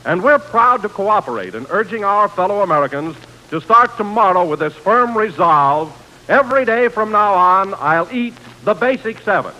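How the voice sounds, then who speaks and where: A man's voice: sits high, lively intonation, normal speed, sharp tone. A man giving an earnest, declamatory wartime sponsor announcement as a 1940s radio announcer. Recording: noisy vintage radio broadcast.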